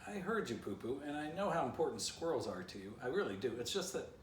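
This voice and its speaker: A man reads as a reasonable male dog, leader of a storybook pack. The dog coaxes and placates gently and patiently. The voice sits a little far from the mic in a roomy space. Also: mid-range voice, big pitch swings, fast talker, voice pushed up, lightly acted. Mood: patient, placating. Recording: roomy echo, distant mic, faint noise.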